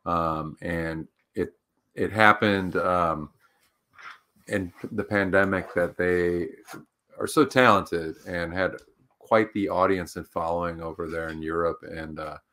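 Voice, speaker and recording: A man's voice: low-pitched, steady tone, slow pace, pushed and bright. A man co-hosting a livestream talk show, chatting casually and rambling with lots of fillers. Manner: rambling, filler-heavy, upbeat. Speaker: co-host.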